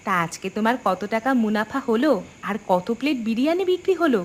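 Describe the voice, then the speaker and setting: A woman's voice: a little high, very animated, fast talker, darkish tone. A woman voicing a practical, supportive wife who cooks, in a cartoon. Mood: brisk. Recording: background music.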